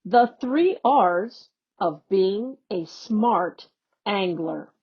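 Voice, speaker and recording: A woman educator reading a children's newsletter aloud in a slow, deliberate, teacherly way. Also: medium pitch, expressive, breathy edge. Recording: dull, lo-fi recording.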